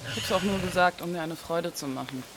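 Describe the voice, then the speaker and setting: An actress playing a brazen, flirty street prostitute in a radio drama, casual and forward with a customer. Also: female voice, lowish voice, a little fast, close to an everyday voice. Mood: flirty, teasing. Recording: noisy street ambience.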